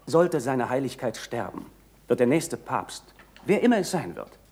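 An actor in a German radio play, playing a haughty, pious young aristocrat with a husky voice and a formal, lecturing manner. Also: male voice, medium pitch, very animated, moderate pace, darkish tone. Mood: measured warning. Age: young adult.

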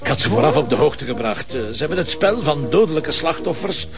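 A man's voice: on the high side, lively intonation, fairly quick. A man with a Flemish accent playing a determined, protective police commissioner in a television crime drama. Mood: calm, explaining.

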